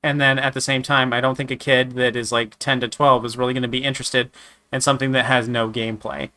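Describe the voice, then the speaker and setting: A man with a slightly raspy voice from a sore throat, chatting casually while reviewing games, rambling with many restarts and fillers. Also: mid-range voice, level tone, fast, sharp tone. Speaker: gaming streamer. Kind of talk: casual game review chat.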